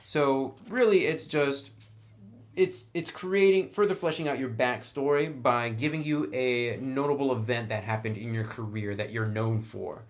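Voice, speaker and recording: A man's voice: medium pitch, steady tone, unhurried. Man giving a casual explanatory review. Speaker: tabletop game book reviewer.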